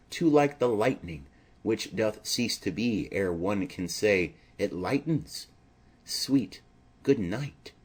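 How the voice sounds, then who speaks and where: A man voicing a smitten, anxious young maiden in earnest, measured Shakespearean verse, as in an audio drama. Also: on the low side, husky. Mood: pleading, cautious.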